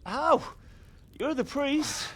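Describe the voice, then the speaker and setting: Exasperated, animated young man. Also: very high voice, very slow, full character voice. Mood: incredulous. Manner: exasperated, sarcastic, swearing. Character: hungover young man, sceptical, reluctant helper of a priest. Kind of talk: video game cutscene acting.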